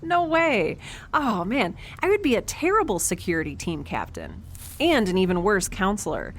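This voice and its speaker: A woman's voice: mid-range voice, sing-song, normal speed, a little hiss or hum, lightly acted. A woman playing a quirky, nervous scientist aboard a spaceship in an audio drama, rambling with sarcastic, self-deprecating humor. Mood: amused, incredulous. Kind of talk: conversational audio drama acting.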